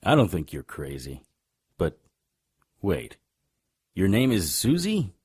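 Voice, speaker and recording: A world-weary investigator of the supernatural in an audio drama. His voice is deep and rough-edged. He is terse and wry, yet insistent, with big swings in pitch. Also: wildly swooping pitch, takes it slowly, a little mellow, full character voice. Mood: probing, urgent. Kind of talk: audio drama acting and first-person narration.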